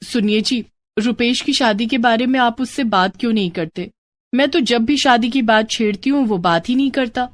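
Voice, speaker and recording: A woman's voice: a little high, normal speed, lightly acted. A woman playing a caring, persistent married sister-in-law in a moral story, earnest and coaxing. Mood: concerned, determined. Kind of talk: Hindi moral story character voice.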